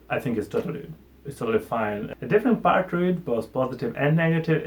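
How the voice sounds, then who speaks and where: A young man, a native Ukrainian speaker with an accent, chatting casually to camera in his vlog with a self-deprecating, friendly manner. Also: medium pitch. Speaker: vlogger. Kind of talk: casual talking-head vlog.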